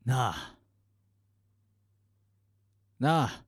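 Radio drama cellmate: male actor as breezy, familiar prisoner, casual and teasing, animated but unhurried. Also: medium pitch, slightly rough, dry recording, studio quality, heavily acted. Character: chatty, easygoing male prisoner, doting father.

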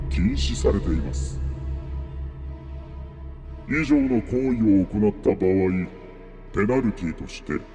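A man voices a solemn master of a deadly game in a radio drama. He announces formally in a deep, mellow voice at a slow, measured pace, washed in long reverb. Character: cold, solemn male death-game master announcing rules. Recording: long cavernous reverb, music behind.

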